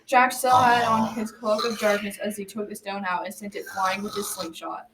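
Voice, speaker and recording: A woman's voice: mid-range voice, steady tone, normal speed, a loud crowd, natural voice. A school-age girl playing a folksy narrator, reading a scripted folktale in an amateur radio drama. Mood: folksy, storytelling. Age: child about 12 to 13. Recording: distant mic, faint noise.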